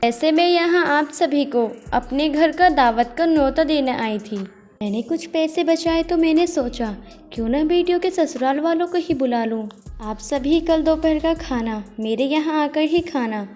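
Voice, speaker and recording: Woman acting high-voiced scolding mother. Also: normal speed, a little mellow, faint music behind, natural voice. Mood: polite. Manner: reproachful, motherly admonishing. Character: exasperated, scolding middle-aged mother of married daughters.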